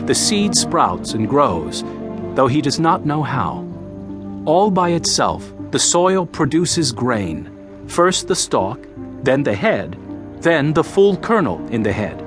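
Jesus, an animated, impassioned male preacher. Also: a little high. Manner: declamatory, emphatic, deliberate phrasing. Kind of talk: dramatized audio Bible acting.

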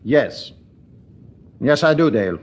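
An actor playing an irritable, pompous senior British police chief in a brusque, impatient manner, in 1940s radio drama style. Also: male voice, medium pitch, lively intonation, takes it slowly, dull audio. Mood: grave.

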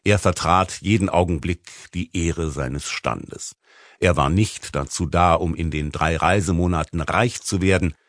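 A man narrating a literary audiobook in a measured, formal manner with rhetorical emphasis. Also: deep voice, no echo, studio quality. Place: dry recording studio.

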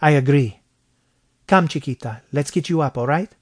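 A man's voice: a little high, moderate pace, darkish tone, no echo, studio quality, pitched above the performer's own voice, close to an everyday voice. A man voicing a chivalrous, concerned man in a western audiobook. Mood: warm, indignant. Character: concerned, chivalrous Mexican man in the Old West. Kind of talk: western audiobook character acting.

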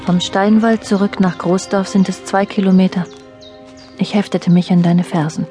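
An actress playing a reflective, introspective narrator in a radio play, speaking in a measured, subdued way. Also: female voice, medium pitch, steady tone, mellow, faint music behind, close to an everyday voice. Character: reflective female narrator addressing an absent man. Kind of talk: scripted audio drama narration.

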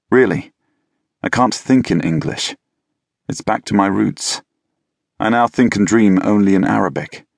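A man narrating a novel in the first person, with a wry, measured, deadpan delivery. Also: deep voice, creaky, breathy edge, dry recording, studio quality. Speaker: audiobook narrator. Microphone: close studio mic.

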